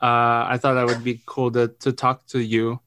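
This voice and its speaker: A man from Arizona hosting a podcast, asking questions in a relaxed, friendly way with frequent restarts and ums. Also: mid-range voice, a little hiss or hum. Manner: relaxed, friendly, halting with repeated words and ums. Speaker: podcast host. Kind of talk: casual interview conversation.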